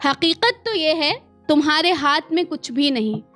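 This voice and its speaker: An Indian woman poet performing her own spoken-word verse live, expressive and deliberate, with asides to her listeners and lines repeated for emphasis. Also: high voice, soft background music. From small town in India.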